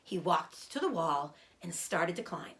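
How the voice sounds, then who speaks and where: A woman reading a children's book aloud as an expressive storyteller. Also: fairly low pitch, a little slow.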